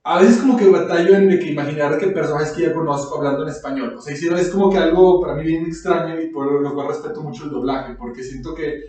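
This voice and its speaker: A man's voice: a little high, fast, few pauses, dark tone, distant mic. A man with a Mexican accent rambles in slangy, casual podcast chat, in a very echoey room away from the mic. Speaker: podcast host.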